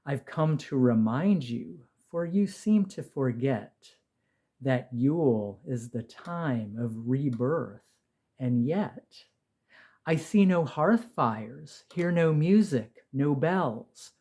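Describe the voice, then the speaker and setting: A man voicing a stately old earth-goddess crone in a storybook poem, solemn, coaxing and motherly as she gently chides. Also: mid-range voice, expressive, slow. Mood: solemn reminder.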